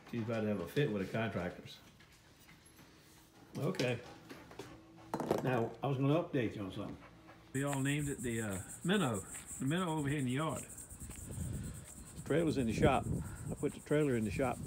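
An older American man talks casually to his phone, wandering from point to point in folksy, plain-spoken style. The sound is echoey, with faint noise behind his voice. His pitch sits in the middle range for a man. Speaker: vlogger. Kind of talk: casual rambling walk-through commentary. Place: inside a house under renovation, later outdoors. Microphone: handheld phone. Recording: echoey rooms, background noise, handheld.

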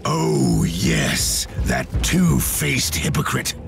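A furious wounded zealot warrior from a sci-fi video game. He declaims slowly with a gravelly edge and swings widely in pitch, bitter and loud, over music. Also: wildly swooping pitch, full character voice. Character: wounded, furious Space Marine battle brother, bitter zealot.

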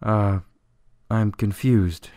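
An actor playing a desperate, disgraced politician in prison in a radio drama, pleading and flustered. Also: male voice, fairly low pitch, steady tone, drawn-out words, dark tone, no room sound, clean studio sound. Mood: exasperated, confused.

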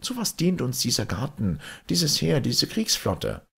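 A man's voice: medium pitch, wildly swooping pitch, measured pace, creaky, fairly bright tone, slightly rough, pitched above the performer's own voice, full character voice. A man voicing a scheming, grumbling merchant who haggles and exclaims in a fairy tale.

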